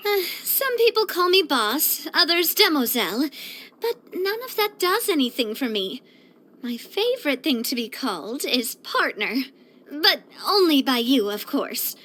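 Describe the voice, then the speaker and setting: A woman's voice: high voice, heavily acted. An actress playing a spirited, proud and affectionate young woman boss in a video game, with a theatrical, bubbly delivery. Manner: theatrical, expressive, bubbly, with playful grandiosity. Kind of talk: scripted video game character voice lines.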